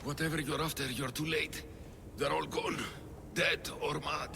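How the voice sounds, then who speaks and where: A man's voice: on the high side, fairly even intonation, unhurried, creaky, background noise. An actor playing a wounded, dying male cultist who speaks in a weak, strained, ominous way and keeps coughing. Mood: haunted. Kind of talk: game cutscene acting.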